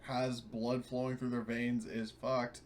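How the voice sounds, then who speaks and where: A man plays a tabletop game, talking in a casual, joking way. His pitch is average, and faint ambient noise sits behind him. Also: flat delivery, unhurried.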